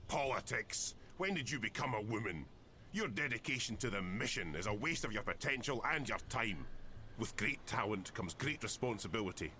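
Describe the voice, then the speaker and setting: A cynical older male mentor from a fantasy game. His sharp, forceful voice sits low and swings widely as he scolds and commands. There is faint noise behind him. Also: animated, moderate pace. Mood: scornful, commanding. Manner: scolding, blunt, emphatic. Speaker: sword-master character.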